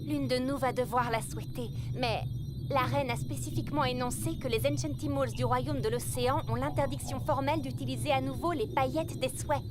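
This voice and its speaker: A woman voicing a cheerful, determined young adventurer girl in an expressive, exclamatory cartoon dub. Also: sits high, brisk, lightly acted. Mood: excited. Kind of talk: animated cartoon dubbing. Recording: loud music behind the voice. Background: music.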